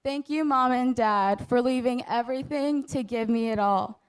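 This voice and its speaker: A young woman graduating from high school, delivering an earnest, prepared commencement speech at a measured pace. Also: clean tone. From United States. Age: young adult. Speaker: graduating student speaker.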